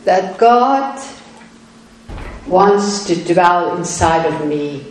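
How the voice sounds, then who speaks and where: A woman's voice: lowish voice, lively intonation, very slow. A woman whose first language is Afrikaans, preaching in English in short phrases that an interpreter translates. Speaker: guest preacher. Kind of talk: sermon with live interpreter. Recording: very echoey hall, faint noise.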